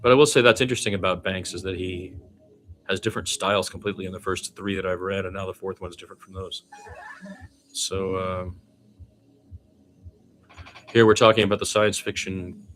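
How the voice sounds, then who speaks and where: A man's voice: low-pitched, steady tone, brisk, pauses often, fairly bright tone, faint music behind. A man doing informal solo basketball play-by-play commentary, conversational and given to chatty asides.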